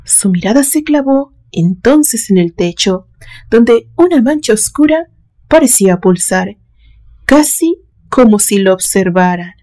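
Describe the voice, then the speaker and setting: Female narrator, slow delivery, dark relaxed timbre, dramatic horror storytelling. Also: fairly high pitch. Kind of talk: scripted literary narration.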